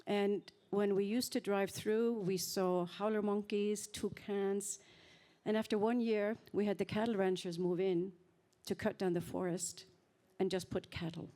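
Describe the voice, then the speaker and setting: A woman who speaks on a panel, telling her story in an earnest, reflective way with thoughtful pauses. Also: medium pitch, level tone, dark tone. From Norway. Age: 50s.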